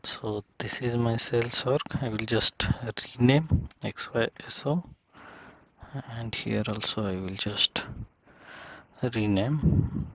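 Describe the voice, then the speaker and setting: A man giving a casual step-by-step software tutorial, speaking in short, halting phrases as he works through the screen. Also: medium pitch, slight background noise.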